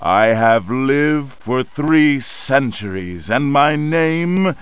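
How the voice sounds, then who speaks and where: A centuries-old undead pirate sorcerer gloats in a dramatic video game monologue. His delivery is extremely slow and theatrical, with big swings in pitch. The sound is close and dry, full of boastful menace. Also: expressive, clear, resonant tone, no room sound. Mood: ominous, gloating.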